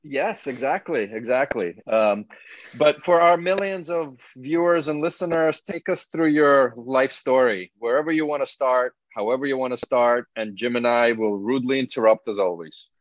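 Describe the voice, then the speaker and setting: A man's voice: mid-range voice, moderate pace, dry recording. Guy cohosting a chill podcast, rambly and jokey, dry muffled call audio. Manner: loose, rambling, joking. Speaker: podcast co-host. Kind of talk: casual podcast interview.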